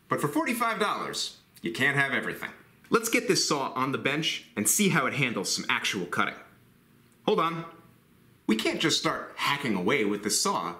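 A man in his forties reviews hand saws straight to camera with blunt, joking enthusiasm and a bright, animated voice. His words ring a little in a roomy workshop, picked up by a camera mic at mid distance. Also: on the high side. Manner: enthusiastic, blunt, jokey asides. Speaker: woodworking channel host. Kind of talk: scripted tool review to camera. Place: woodworking shop.

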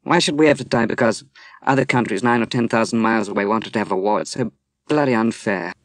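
A man voicing a young woman who is drunk, bitter and despairing, with a rising, shrill, emotional delivery. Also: animated, brisk, dry recording, lightly acted.

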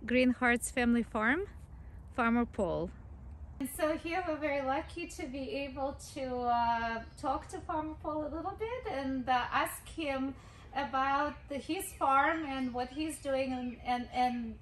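A woman's voice: high voice, fairly bright tone. A woman, hosting a casual farm vlog and interviewing a farmer in an upbeat, encouraging way. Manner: upbeat, encouraging, with many "mm-hmm" backchannels. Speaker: host and interviewer. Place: outdoors on a farm. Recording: roomy outdoor sound with faint noise.

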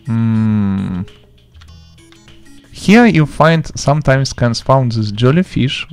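Male game tutorial host, medium pitch, non-native English speaker, halting delivery in short chunks, light music behind. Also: lively intonation, darkish tone, clean tone. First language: not English. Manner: halting, choppy phrasing with broken grammar. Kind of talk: gameplay tutorial commentary.

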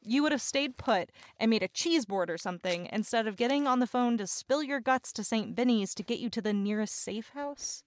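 A woman's voice: a little high, lively intonation, a little fast, hardly any pauses, no echo, natural voice. An actress playing a persistent, cagey woman intruder in an audio drama, wry and insistent with a pleading edge. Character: persistent, cagey woman intruder seeking allies, former schoolgirl. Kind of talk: naturalistic audio drama acting.

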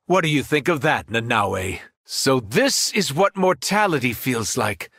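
A man's voice: medium pitch, very animated, measured pace, vocal fry, a bit bright, no echo, clean studio sound, full character voice. An actor playing a menacing, arrogant alien superhero turned villain in a video game, taunting his foes with cold mockery and grandiose threats. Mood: mocking.

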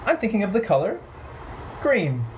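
A man in his thirties hosting a children's storytime, playful and expressive, speaking simply in a rhythmic sing-song way. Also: sits high, moderate pace, noisy background. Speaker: children's storytime host at a library. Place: bright living room at home.

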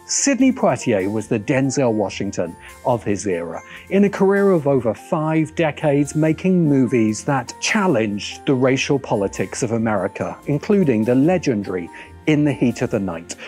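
Middle-aged man, lively wry film commentary. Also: fairly high pitch. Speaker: video essay host. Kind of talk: film commentary video essay. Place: open-air veranda.

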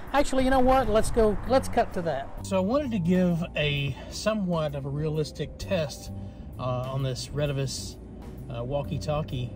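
A man in his thirties giving a casual, informal review to camera as host, with a halting delivery. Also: fairly high pitch. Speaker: product reviewer, channel host.

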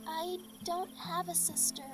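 High-voiced, eager young girl. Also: little pitch movement, a little slow, music in the background. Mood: stubborn, hopeful. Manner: innocent, earnest. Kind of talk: audio drama acting.

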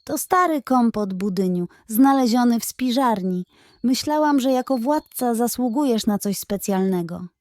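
A woman voicing a young female pig in a children's storybook, earnest, measured and quietly crafty. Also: a little high, expressive, no room sound, natural voice. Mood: calm, serious. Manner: measured, earnest, gently reproachful.

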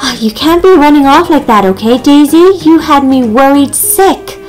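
A woman puts on a young mother who is high-voiced, tender and reassuring. She coos affectionately as in a children's toy story, with music playing behind. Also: animated, moderate pace, darkish tone, low-quality recording. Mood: relieved, fond. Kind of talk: toy doll roleplay.

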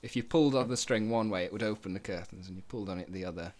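Low-voiced man chatting, jokey. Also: rapid-fire, a little mellow. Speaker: puppet show co-creator giving audio commentary. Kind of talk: casual behind-the-scenes commentary chat.

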